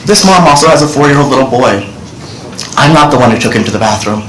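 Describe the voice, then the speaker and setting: A man's voice: medium pitch, expressive, fast, fairly bright tone, slight background noise. A middle-aged man giving earnest, direct testimony to senators from a desk microphone in a large hearing room. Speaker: witness testifying. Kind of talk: public testimony. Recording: roomy hearing room audio.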